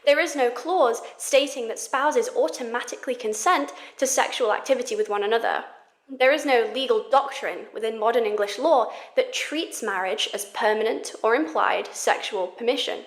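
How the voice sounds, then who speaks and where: Formal woman narrating in echoey room. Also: a little high. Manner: formal, measured. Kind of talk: read explanatory narration.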